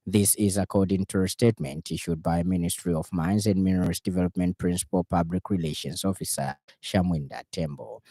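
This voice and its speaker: A man with a Zambian accent reading a formal radio news bulletin in a measured, scripted delivery. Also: sits low, mellow, dry recording, studio quality.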